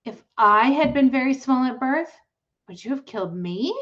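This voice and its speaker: A high, emotional little farm girl from a storybook, as a woman reader plays her, earnest and emphatic, with drawn-out words. The recording is a little dull. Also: animated, a bit bright. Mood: protesting. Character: tearful, indignant young farm girl pleading passionately.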